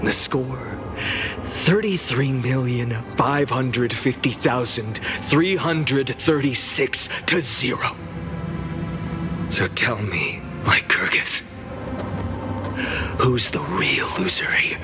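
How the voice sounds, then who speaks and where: A man playing a weary, defiant hero in a video game, solemn and measured, often dropping into a breathy whisper. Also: medium pitch, animated, music in the background. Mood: cold defiance. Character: weary, defiant male hero burdened by countless lives. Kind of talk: dramatic video game voice acting.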